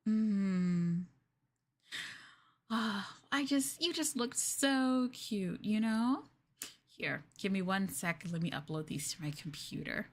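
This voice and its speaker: A woman voicing a sweetly possessive, obsessive young girlfriend in an ASMR roleplay, coaxing and cooing between soft speech and whispers. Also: medium pitch, lively intonation, slow. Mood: gushing, possessive. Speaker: ENVtuber streamer performing ASMR roleplay.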